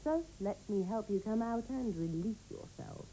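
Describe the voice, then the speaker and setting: A woman presenting game cheats with a brisk, haughty manner. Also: mid-range voice, lively intonation, dark tone, a little hiss or hum, low-quality recording. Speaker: games tips presenter. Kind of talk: comic presenting.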